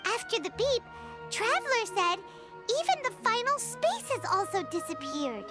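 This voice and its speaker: A tiny fairy sidekick from a video game with an extremely high, childlike voice. She is chirpy and excitable, swooping wildly in pitch, with music playing behind her. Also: normal speed. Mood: curious. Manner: chirpy, exclamatory, refers to herself in third person. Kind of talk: video game character dialogue.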